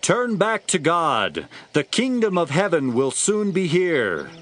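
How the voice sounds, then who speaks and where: An actor playing a fiery desert preacher in an audio Bible drama, exhorting forcefully. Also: male voice, a little high, huge pitch swings, takes it slowly, faint music behind, full character voice. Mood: urgent. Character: John the Baptist, fiery male desert preacher.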